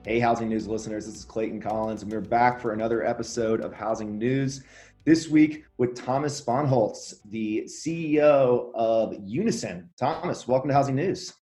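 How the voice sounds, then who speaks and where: A man's voice: mid-range voice, normal speed, mellow, soft background music. A man hosting a podcast interview, upbeat and eager, chatting casually with frequent fillers and restarts. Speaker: podcast host.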